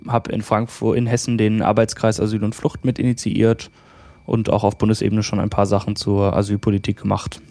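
A man's voice: lowish voice, monotone, a little fast, dark tone, dry recording. A man from Germany, a political candidate, talking on a podcast with frequent hesitations. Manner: hesitant, many fillers. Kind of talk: casual podcast conversation.

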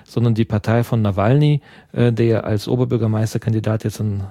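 A man's voice: lowish voice, little pitch movement, a little fast, dark tone, no room sound, clean studio sound. A man from Russia giving an interview in a second language, explaining earnestly and searching for words as he goes. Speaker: interview guest, party activist.

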